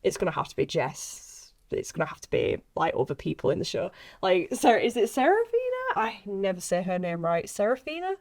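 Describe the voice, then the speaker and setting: A woman in a casual podcast chat, talking in an excitable, giggly, rambling way as she interviews a fellow actress. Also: medium pitch, a little fast, few pauses. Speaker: actress interviewing a castmate on a behind-the-scenes podcast.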